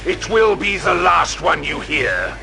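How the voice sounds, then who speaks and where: Stern, gravelly knight commander. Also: male voice, on the high side, big pitch swings, a little slow, bright, forceful tone, faint music behind, heavily acted. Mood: defiant. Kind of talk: audio drama acting.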